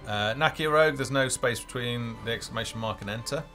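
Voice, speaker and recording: A man's voice: mid-range voice, a little fast, bright, forceful tone. A man hosting a casual game developer live stream, talking off the cuff in a hesitant, rambling way as he thinks aloud. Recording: music playing behind the voice.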